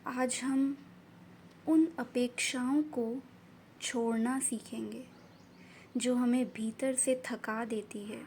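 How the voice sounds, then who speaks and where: Very slow, soothing woman guiding meditation. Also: fairly high pitch, fairly even intonation. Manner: gentle, soothing, unhurried instructions in short phrases. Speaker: meditation guide. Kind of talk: guided meditation narration. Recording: faint background noise and ambience.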